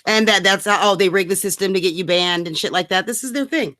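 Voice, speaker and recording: A woman's voice: medium pitch, fast, pushed and bright, dry recording, clean studio sound. A woman co-hosting a rant show in casual banter, blunt and mocking, swearing freely. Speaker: co-host of a political rant show.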